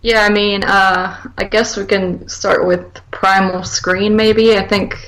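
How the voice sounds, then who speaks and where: A woman's voice: mid-range voice, little pitch movement, slow pace, fairly bright tone, poor audio. A woman co-hosting a podcast, reviewing films in casual, informal chat full of hedges and filler words. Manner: informal, hedging, filler-heavy with frequent "like". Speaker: podcast co-host.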